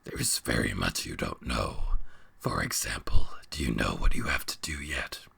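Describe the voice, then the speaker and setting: A man voicing a menacing, taunting beast in a storybook reading, speaking slowly and cryptically in a gravelly, rasping half-whisper. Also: a bass voice, animated, sharp tone, pitched below the performer's own voice, full character voice. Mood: taunting, mocking. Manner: slow, cryptic, drawn-out delivery.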